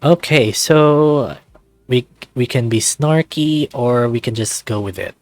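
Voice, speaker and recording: A man's voice: medium pitch, takes it slowly, dry recording. A man casually reading a visual novel aloud and commenting on it as he plays. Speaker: let's player of a visual novel. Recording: music playing behind close dry voice.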